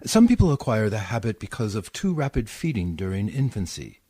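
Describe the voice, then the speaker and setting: Man acting pompous radio doctor, swooping pitch. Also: big pitch swings, fairly quick, mellow, husky, dry recording, studio quality. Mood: clinical, formal. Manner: formal, clinical. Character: inquisitive male physician, formal and pompously clinical. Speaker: community theatre actor. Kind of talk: 1940s radio comedy acting.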